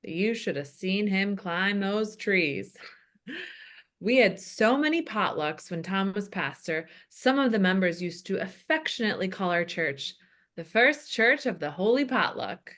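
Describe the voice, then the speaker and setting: A woman's voice: mid-range voice, animated, unhurried, a bit bright. A woman playing a chatty, good-humored church cook, telling comic anecdotes at a table read. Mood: reminiscing. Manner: comic storytelling. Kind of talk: comedy script table read.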